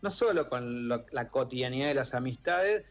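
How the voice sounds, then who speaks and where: A man's voice: medium pitch, lively intonation, moderate pace, dull audio. A man with a Rioplatense Argentine accent, a radio interview guest speaking thoughtfully, with many fillers and restarts. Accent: Rioplatense Spanish. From born in Italy, raised in Argentina. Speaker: guest, author and member of an exile-children group. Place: radio studio.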